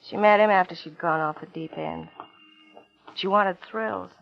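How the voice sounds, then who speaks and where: A woman's voice: lowish voice, unhurried, gravelly. An actress playing a bitter, world-weary young woman in an old radio drama, wry and vulnerable. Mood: wry, confessional. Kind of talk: 1950s radio drama acting. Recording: dull old radio recording, music underneath.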